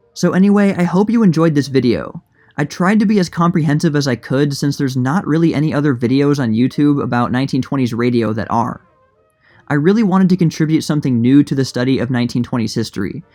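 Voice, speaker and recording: A man's voice: mid-range voice, a little mellow. A man narrating a scripted history documentary in a plain, informative manner. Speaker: narrator and creator of a history channel.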